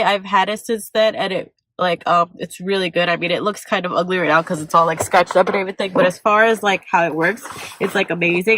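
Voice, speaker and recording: A young woman with a stuffy, congested voice chats on a vlog from a parked car. She rambles and corrects herself, her voice sitting a little low and close to the mic, with a dry sound and no room around it. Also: sharp tone, no echo. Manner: rambling, self-correcting, many fillers. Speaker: vlogger. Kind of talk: casual vlog chat.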